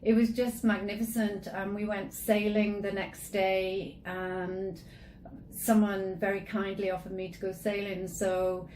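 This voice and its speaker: A woman's voice: mid-range voice, level tone, measured pace, a little mellow, reverberant, slight background noise, poor audio. A woman hosting an interview, asking questions and sharing stories in a chatty, enthusiastic way. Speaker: host. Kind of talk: conversational interview.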